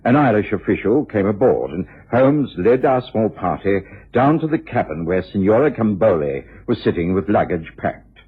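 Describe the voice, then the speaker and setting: An actor playing a genial, well-mannered gentleman companion, narrating a radio drama in measured, courteous storytelling. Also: fairly low pitch, big pitch swings, husky, exaggerated voice. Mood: pleasant, contented. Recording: dull old radio broadcast, faint music.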